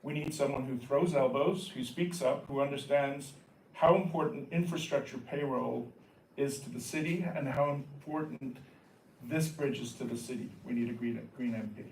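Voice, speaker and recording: A man's voice: medium pitch, normal speed. A man speaking as a political candidate, delivering an emphatic campaign speech built on repeated phrases. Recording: echoey hall, distant mic, faint noise.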